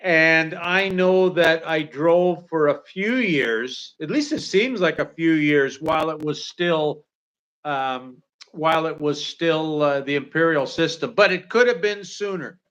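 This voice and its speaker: A man's voice: on the high side, measured pace, fairly bright tone, clean tone. A man in his sixties from Canada, chatting casually as livestream co-host, rambling with many fillers and restarts. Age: 60s. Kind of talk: casual chat.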